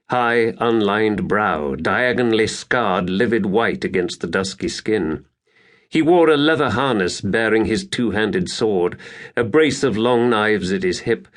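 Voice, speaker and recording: A man narrating an epic fantasy audiobook in a measured, theatrical, scripted style. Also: on the low side.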